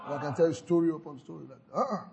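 Husky-voiced pastor exhorting. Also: male voice, a little high, racing, a little mellow. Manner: exhorting, repetitive chanting of declarations, frequent hallelujahs. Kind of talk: sermon with call-and-response declarations.

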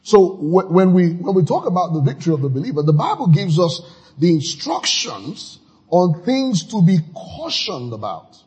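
Very husky, exuberant male preacher. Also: high voice, sing-song, moderate pace, dull audio. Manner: exuberant preaching with sudden shouts and laughs. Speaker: pastor. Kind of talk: sermon.

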